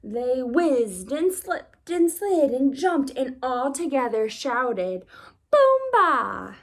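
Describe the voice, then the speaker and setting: A woman reading a children's story aloud as narrator, expressively, with deliberate phrasing for young listeners. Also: sits high, lively intonation, warm tone.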